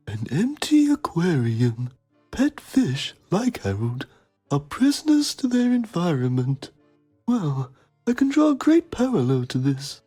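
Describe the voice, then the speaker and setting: Pompous, husky male journalist. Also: mid-range voice, a little mellow. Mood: mock poignancy. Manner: pompous, theatrical. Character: pretentious, self-admiring male documentary journalist. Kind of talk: melodramatic mock-documentary narration.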